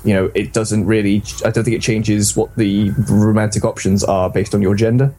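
A man's voice: fairly low pitch, flat delivery, moderate pace, mellow, slight background noise. A man co-hosting a casual games podcast, talking in a hesitant, rambling, self-deprecating way. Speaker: podcast co-host.

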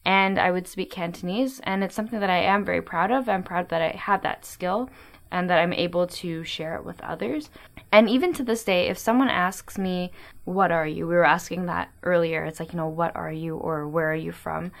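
A woman's voice: fairly low pitch, normal speed, gravelly. A young Canadian woman hosting a podcast, speaking earnestly and reflectively in casual chat. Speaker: podcast host.

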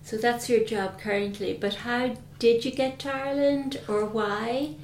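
A woman who is a doctor hosts a podcast, introducing her guest and asking him clear, measured questions. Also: a little high, steady tone, roomy sound, a little hiss or hum. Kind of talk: podcast interviewing.

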